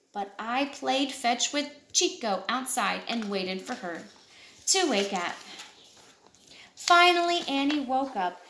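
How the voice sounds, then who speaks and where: A woman reading a children's picture book aloud with an expressive, lilting delivery. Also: high-pitched, slight background noise. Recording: echoey room.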